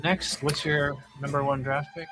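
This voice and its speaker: A man's voice: on the high side, level tone, moderate pace, a bit bright, faint music behind. A man hosting a podcast in casual, conversational chat. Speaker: podcast host.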